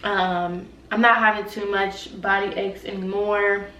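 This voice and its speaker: A woman's voice: mid-range voice, little pitch movement, a little slow, sharp tone, muffled recording, a little hiss or hum. A young woman vlogger with a stuffy nose, chatting casually and rambling with lots of "like" and "y'all". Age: young adult. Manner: rambling, filler-heavy, frequent "like" and "y'all". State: stuffy nose, sick with a cold-like illness. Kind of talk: casual chat to camera. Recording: echoey room, voice a bit far from mic.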